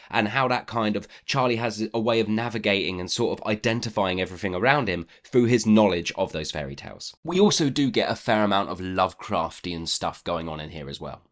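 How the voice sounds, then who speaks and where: A man with a fairly low voice talks through a book review. He is chatty and opinionated, speaking informally as if to a viewer and leaning on repeated words to stress his points. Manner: chatty, opinionated, emphatic repetition. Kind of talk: casual book review to camera.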